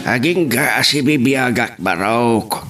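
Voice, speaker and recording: A man's voice: mid-range voice, slow, over loud music, lightly acted. A man acting a caring, plaintive elderly father in an Ilocano family audio drama. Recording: slightly far from the mic.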